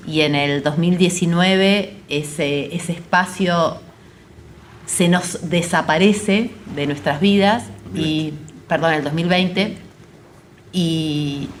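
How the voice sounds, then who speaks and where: An Argentine woman talking reflectively and conversationally about a creative project, often halting as she searches for words. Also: fairly low pitch, slight background noise. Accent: Argentine Spanish. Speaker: theater artist and educator. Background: music behind the voice.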